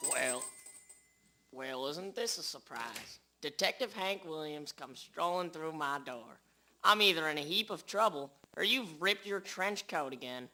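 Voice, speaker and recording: A man playing a genial shopkeeper tailor in an amateur radio drama with a rough recording. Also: a little high, animated, unhurried, sharp tone, full character voice. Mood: welcoming. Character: genial male shopkeeper tailor, detective's old friend. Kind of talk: hobby radio drama acting.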